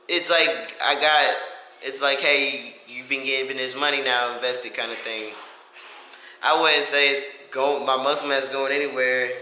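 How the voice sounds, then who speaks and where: A man's voice: medium pitch, bright, forceful tone. A man giving a casual, rambling personal update to camera in an informal, self-reflective way. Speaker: vlogger. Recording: echoey room with faint hiss.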